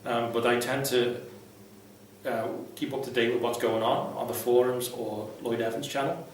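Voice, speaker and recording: A man's voice: medium pitch, level tone, moderate pace. A man giving casual, unscripted advice to viewers in a blunt, irreverent, sweary way. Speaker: vlogger, ex-Jehovah's Witness advice host. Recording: very echoey room, distant mic, faint noise.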